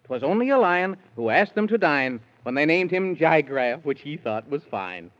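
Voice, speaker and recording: A man's voice: fairly high pitch, sing-song, moderate pace. A man narrating a 1930s children's radio serial in sing-song rhyming verse. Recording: dull 1930s radio recording.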